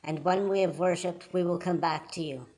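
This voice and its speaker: Man as storybook patriarch, faithful father, steady, plain, earnest, reassuring. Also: high voice, normal speed, close to an everyday voice, poor audio. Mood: calm assurance.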